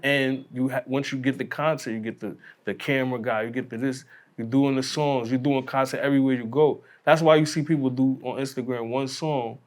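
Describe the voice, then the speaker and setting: A man's voice: mid-range voice, fairly even intonation, fairly quick. An American man talking casually as a podcast guest in a blunt, emphatic, slangy way.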